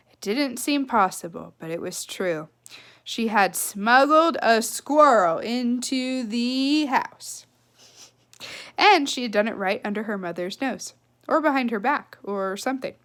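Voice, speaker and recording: A woman reading a children's novel aloud with expressive, emphatic phrasing and casual asides. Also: a little high, measured pace. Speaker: read-aloud storyteller.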